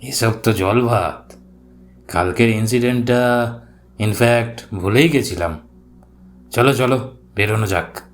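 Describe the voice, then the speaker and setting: An actor playing an easy-going, reassuring gentleman, a seasoned professional, in a dramatised audio story. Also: mid-range voice, faint music behind, natural voice. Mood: relaxed, confident. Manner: unhurried, reassuring.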